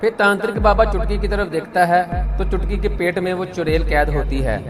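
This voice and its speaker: A man's voice: high-pitched, a little fast. A man narrating a scripted story as a storyteller. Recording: music behind the voice.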